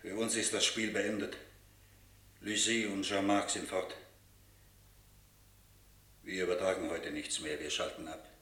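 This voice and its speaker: Deep, resigned announcer, echoing. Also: male voice, fairly even intonation, unhurried, long pauses, sharp tone. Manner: subdued, final. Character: resigned, grave male broadcaster signing off. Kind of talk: radio play acting. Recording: echoey, distant from microphone.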